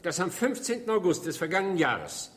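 An actor playing a sharp, insistent prosecutor in a radio drama, questioning formally with pointed irony. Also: male voice, high-pitched, very animated, fairly quick. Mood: pointed, accusing. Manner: formal, pointed, ironic cross-examining. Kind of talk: German radio drama acting.

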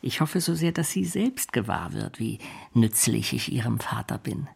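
An actor playing a fawning, falsely humble, scheming clerk in a German radio drama, with servile, self-abasing manners and a raspy voice. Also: male voice, mid-range voice, animated, moderate pace, darkish tone, exaggerated voice. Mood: hushed, insinuating. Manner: servile, obsequious, gushing with self-abasement.

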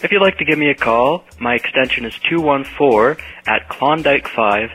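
A man's voice: medium pitch, moderate pace, bright, forceful tone, breathy edge, rough recording. A man leaving a mock official voicemail with a hesitant delivery. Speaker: prank voicemail caller.

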